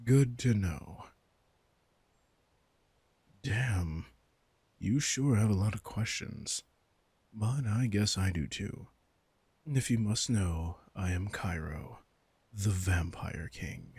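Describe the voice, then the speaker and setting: A man's voice: lowish voice, slow, long pauses, a little mellow, slightly rough, no room sound, full character voice. A man playing a commanding, teasing vampire king in a roleplay audio drama, smug and casually menacing as he speaks straight to the listener.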